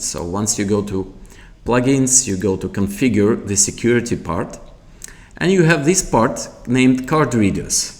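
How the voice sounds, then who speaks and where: A man giving an unscripted product tutorial in a halting, explanatory way. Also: lowish voice, a little mellow. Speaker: product demonstrator.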